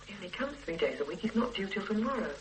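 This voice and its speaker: A woman's voice: mid-range voice, very animated, fast talker, noisy background, close to an everyday voice. An actress playing a defensive young mother. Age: 30s. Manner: defensive and tense. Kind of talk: 1980s radio drama acting.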